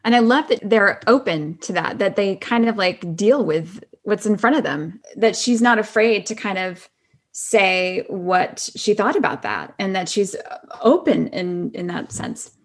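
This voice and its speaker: Female podcast guest, medium pitch, loose informal chat with a halting, thinking-aloud flow. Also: lively intonation. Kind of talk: casual chat.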